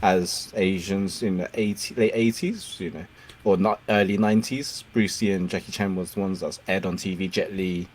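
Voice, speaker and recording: Man, host, low voice, Cantonese native, casual interview, laughs often. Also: steady tone, moderate pace. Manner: laughs often, warm and encouraging. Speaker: podcast host.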